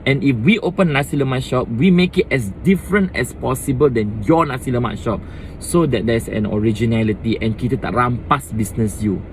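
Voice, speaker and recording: A man's voice: medium pitch, brisk, a little hiss or hum, rough recording. A young Malaysian man with a Malaysian accent, talking to the camera in a fired-up casual rant and mixing in Malay words. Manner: impassioned, switching between English and Malay. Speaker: vlogger.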